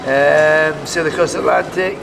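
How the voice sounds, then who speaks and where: A man's voice: fairly high pitch, moderate pace, far from the mic. A middle-aged man telling his personal story casually, with some hesitation as he pieces it together. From Scotland. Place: outdoors in a town by traffic. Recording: outdoors, off-mic, clear traffic noise.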